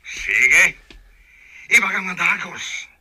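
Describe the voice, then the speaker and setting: A man's voice: high voice, animated, slow, sharp tone, slightly rough, heavily acted. An actor, a man, playing a scornful, scheming crime boss who taunts and gloats in a radio drama. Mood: pleased.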